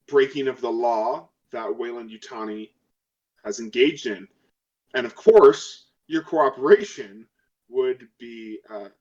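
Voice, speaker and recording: A man's voice: medium pitch, darkish tone, far from the mic, dull audio, natural voice. A man playing a female lawyer in a tabletop game, formal, pointed and coolly intimidating, with sarcasm.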